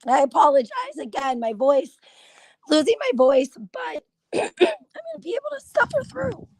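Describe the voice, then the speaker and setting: A hoarse woman with a high, lively voice hosts a casual live stream. She chats in a confiding way and keeps stopping to clear her throat. The sound is dry and close. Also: a little slow, dry recording, low-quality recording. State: hoarse from laryngitis. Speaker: gossip channel host.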